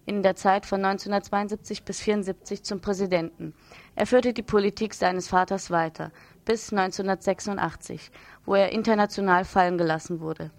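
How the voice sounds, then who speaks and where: Woman, radio newsreader, medium pitch, scripted report delivery, dry studio-like sound. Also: dry recording.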